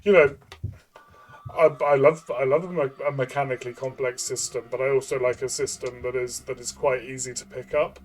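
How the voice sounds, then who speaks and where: A man's voice: mid-range voice, steady tone, fairly quick. A man co-hosting a casual review discussion, thinking aloud and rephrasing himself as he goes. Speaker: podcast co-host and game reviewer.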